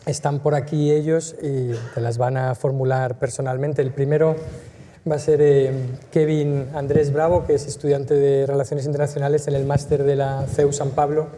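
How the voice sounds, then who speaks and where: A man with a Spain Spanish accent moderating a public event, introducing questioners off the cuff in a hesitant, halting way. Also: medium pitch, little pitch movement, warm tone. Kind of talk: unscripted hosting, introducing questioners. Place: event auditorium. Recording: reverberant hall, noisy room ambience.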